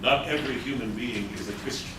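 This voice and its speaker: A man playing a stern, noble gargoyle warrior in a live radio play, speaking gravely and formally. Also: mid-range voice, fast, close to an everyday voice. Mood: grave, challenging. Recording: echoey noisy hall, recorded from far off.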